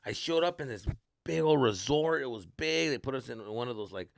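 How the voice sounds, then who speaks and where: Man, Mexican-American accent, gravelly low-end fry, casual podcast storytelling, slangy swearing, bits of Spanish, close dry sound. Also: brisk, no echo. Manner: animated, acting out people's reactions. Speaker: podcast host and stand-up comedian.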